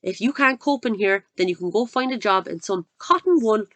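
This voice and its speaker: Woman reading as a giant bully of a headmistress, barking and sneering, loud and harsh, lively swings in her voice, dry close sound. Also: fairly quick, dry recording, close to an everyday voice. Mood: gloating. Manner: barking, booming and contemptuous. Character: huge bullying middle-aged headmistress, cruel and scornful.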